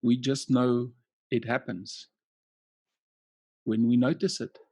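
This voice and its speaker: Guy doing a slow hypnosis induction, gravelly voice, soothing, lots of long pauses. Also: medium pitch, little pitch movement, darkish tone, no room sound, clean studio sound. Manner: soothing, measured, with long pauses between short phrases. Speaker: coaching trainer leading a session. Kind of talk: guided relaxation, hypnotic induction.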